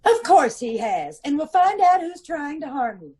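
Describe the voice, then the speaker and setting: A woman's voice: high voice, very animated, normal speed, warm tone. An American woman playing a plucky, teasing detective's wife in an old-time radio comedy, arch and playful. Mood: confident, coaxing.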